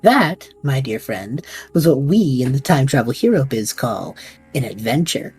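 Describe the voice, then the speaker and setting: Lady doing a scatterbrained guy adventurer, low husky dark voice, all over the place, faint music. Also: measured pace, full character voice. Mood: excited. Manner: excitable, joking, reckless. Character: eager scatterbrained young male adventurer hero. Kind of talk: fan audio drama.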